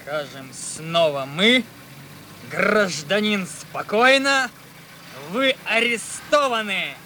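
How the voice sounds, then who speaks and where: An earnest young policeman in a radio drama, nervy and defensive. His high voice swings widely in pitch and sounds tense and self-serious. Faint vehicle noise runs underneath. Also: lively intonation, slow, bright, forceful tone, full character voice. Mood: nervy, brooding. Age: young adult. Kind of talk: radio play acting. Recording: faint car and street noise behind.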